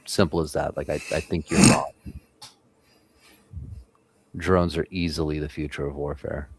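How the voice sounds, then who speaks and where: A man's voice: very deep voice, little pitch movement, a little slow, breathy edge. A man hosting a live trading stream, talking in casual, profane banter. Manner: profane, laid-back banter.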